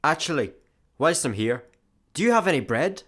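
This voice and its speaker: An actor playing a blustering, menacing space pirate in a comedy radio play, pompous and threatening. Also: male voice, on the high side, sing-song, normal speed, a bit bright. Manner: threatening, pompous, garbles words. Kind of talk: sci-fi comedy radio acting.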